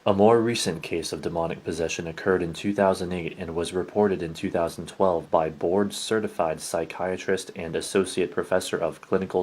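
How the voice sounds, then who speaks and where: A man narrates allegedly real paranormal tales in a very deep, husky voice. His delivery is formal and measured, with little rise and fall in pitch. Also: a little mellow. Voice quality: low, husky.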